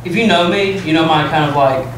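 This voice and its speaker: A young man with a fairly high voice and dry, understated delivery. He is picked up from far off in a very echoey hall, with noise in the background. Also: fairly quick, a bit bright. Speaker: stage actor answering questions. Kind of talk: relaxed Q&A chat with students.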